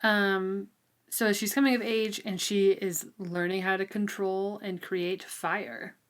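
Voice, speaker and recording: A woman's voice: medium pitch, fairly even intonation, slow. A woman co-hosting a book discussion, chatting casually and informally with plenty of hedging and filler likes. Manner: informal, hedging, often says like. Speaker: co-host of a book discussion show.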